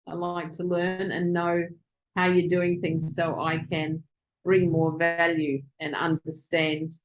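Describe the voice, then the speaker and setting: Excitable woman interviewing on a video call. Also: fairly low pitch, dull audio. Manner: excitable, talks fast when excited. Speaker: client interviewing virtual assistants.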